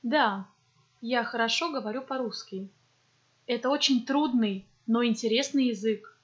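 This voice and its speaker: A woman reads scripted textbook dialogues for a language course. Her voice sits fairly high, and she speaks slowly and clearly. The recording sounds dull and lo-fi. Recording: dull lo-fi audio.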